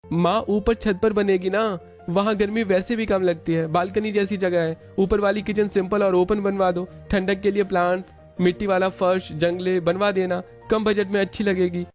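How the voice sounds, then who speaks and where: A man plays an eager young cartoon son with a notably high voice. The sound is a little dull, with music faintly behind. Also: level tone, normal speed, natural voice. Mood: helpful. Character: helpful young adult son of the family.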